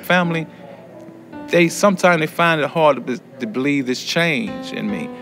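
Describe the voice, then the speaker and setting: A man's voice: fairly high pitch, expressive, moderate pace. A middle-aged man with an American accent talks candidly in an interview as a student. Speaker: prison education student. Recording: guitar music under the voice. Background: acoustic guitar music.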